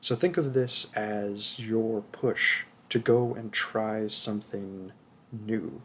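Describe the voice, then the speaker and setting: Soft-spoken man in a casual outro. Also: fairly low pitch, slow pace, slight background noise. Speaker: audio roleplay performer. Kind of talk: casual outro addressed to listeners.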